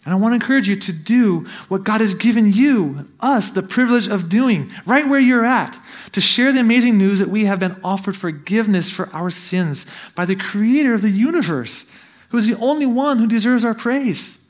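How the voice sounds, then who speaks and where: A man with a notably high voice delivers a sermon from the pulpit. He grew up in Brazil and speaks as a missionary in his thirties, earnest and exhorting. He keeps turning to his listeners with direct questions. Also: moderate pace. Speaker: missionary preacher.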